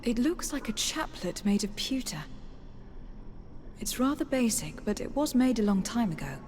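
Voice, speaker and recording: A woman's voice: on the high side, big pitch swings, moderate pace, a little mellow, a little hiss or hum. An actress playing a composed, diplomatic noblewoman adventurer in a video game, polite and articulate. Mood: curious, studious. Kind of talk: dramatic video game acting.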